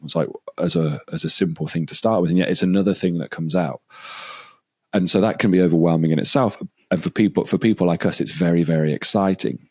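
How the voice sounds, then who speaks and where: Really deep-voiced guy hosting a podcast, chatty and rambly, says like a lot, dry close sound. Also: fast, darkish tone, dry recording. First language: English. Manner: chatty, loose, fills with "like" and repeats himself. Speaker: podcast host. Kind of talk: casual interview chat.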